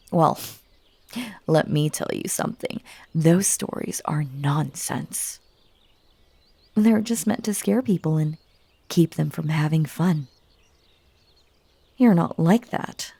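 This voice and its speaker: A woman playing a clingy, unhinged magical bunny woman in a roleplay audio drama, coaxing and gushing, breathy and dropping into whispers, with stammers and stern turns. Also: fairly low pitch, dark tone, dry recording, exaggerated voice. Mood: coaxing, sly.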